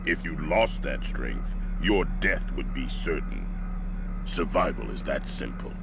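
An actor playing a cold, arrogant tyrant who leads a criminal empire, speaking in a slow, menacing, commanding way in a game cutscene. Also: male voice, extremely low pitch, animated, creaky, noisy background, exaggerated voice. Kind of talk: video game voice acting.

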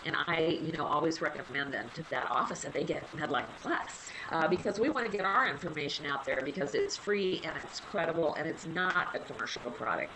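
A woman with a low, slightly nasal voice, stuffed up from a cold, walks listeners through online resources in an easy, conversational way. Her speech is loose and friendly, with plenty of small fillers. The audio carries a clear layer of background noise, as in a web conference. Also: expressive, brisk, hardly any pauses, bright, forceful tone, poor audio. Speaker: health library liaison presenting a webinar. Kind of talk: casual webinar presentation.

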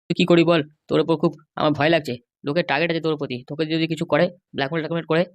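A woman's voice: sits low, fairly even intonation, racing, natural voice. A woman voicing a protective, stern middle-aged father in a comic cartoon, speaking in an admonishing way. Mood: worried.

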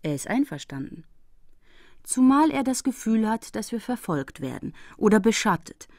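An actress playing a determined female investigator in a crime audio drama, narrating like a diary in a brisk, matter-of-fact way. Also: a little high, big pitch swings, darkish tone, no room sound, studio quality. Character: determined female investigator reporting on her travels.

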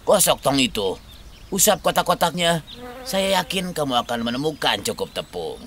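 A man playing a bossy old peasant grandfather in a cartoon, giving orders in a drawn-out way. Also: fairly high pitch, sing-song, a bit bright, slight background noise. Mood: coaxing.

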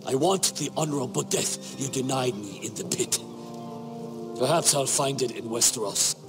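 An actor playing a proud, grim pit fighter in a fantasy game, solemn and terse, in long reverb. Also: male voice, medium pitch, moderate pace, over music, lightly acted. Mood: grim, resolute. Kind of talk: dramatic fantasy game acting.

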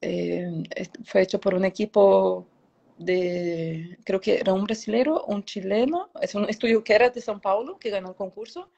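A woman's voice: medium pitch, normal speed, creaky, darkish tone. A woman in her thirties from Brazil speaks Spanish with a Brazilian Portuguese accent, reflective and hesitant as an interview guest searching for words, on a lo-fi video call. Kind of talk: casual, reflective interview answers. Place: indoor room, on a video call. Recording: dull, lo-fi video call sound.

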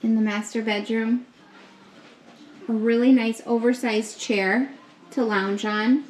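Young woman, slow upbeat room-tour narration, roomy echo, faint hum behind, handheld camera mic. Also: fairly high pitch, fairly even intonation, a little mellow. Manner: slow, upbeat, pointing things out. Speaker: vlogger giving a room tour. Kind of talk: casual walkthrough narration. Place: indoors in a hotel suite. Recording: echoey rooms with faint ambience.

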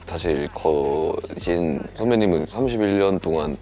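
A thirty-year-old man hosting a casual chat over drinks, soft-spoken and often murmuring quiet agreement, in a small indoor bar set. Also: sits low, expressive, a little slow, faint music behind. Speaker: host.

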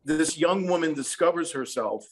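A man's voice: on the high side, expressive, moderate pace, rough recording. Reflective older American man telling stories. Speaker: songwriter, interview guest. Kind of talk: conversational storytelling. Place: room at home, indoors. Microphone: video call.

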